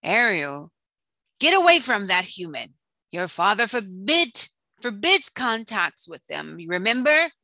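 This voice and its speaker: A woman's voice: medium pitch, very animated, measured pace, pushed and bright, no room sound, heavily acted. A woman voicing a fussy, bossy male crab advisor who scolds in a storybook reading. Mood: scolding, urgent. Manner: bossy, admonishing.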